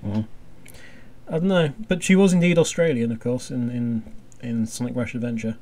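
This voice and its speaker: A man's voice: mid-range voice, moderate pace, darkish tone. A man hosting a casual commentary chat, speaking in a hesitant, rambling way with dry jokes. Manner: hesitant, rambling, dryly jokey. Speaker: podcast host.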